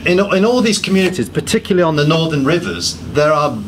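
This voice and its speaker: A man's voice: fairly high pitch, sing-song, fast talker, slight background noise, rough recording. A man giving a public talk, listing points emphatically and often restarting his sentences with self-deprecating asides. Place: pub function room.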